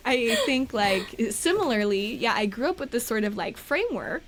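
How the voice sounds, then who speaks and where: A woman's voice: on the high side, very animated, moderate pace, fairly bright tone, slight background noise. A woman hosting a podcast, talking in a chatty, friendly interview manner. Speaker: podcast host. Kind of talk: casual interview.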